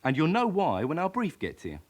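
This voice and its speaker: A man's voice: fairly high pitch, wildly swooping pitch, a little fast. An actor playing a cocky, surly young criminal in his early twenties in a radio drama, with a London accent and a defiant, argumentative manner. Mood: cocky, dismissive. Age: young adult.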